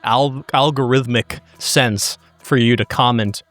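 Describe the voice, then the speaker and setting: A man's voice: mid-range voice, steady tone, measured pace, fairly bright tone, no room sound, soft background music. A man on a podcast talking casually to listeners, with crude humor and swearing. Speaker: podcast cast member.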